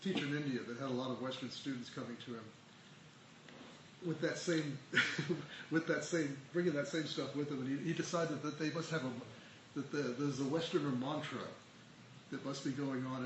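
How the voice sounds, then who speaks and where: A man in a meditation group telling an anecdote, halting and restarting often. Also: mid-range voice, fairly even intonation. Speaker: group member. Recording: roomy hall with clear background noise.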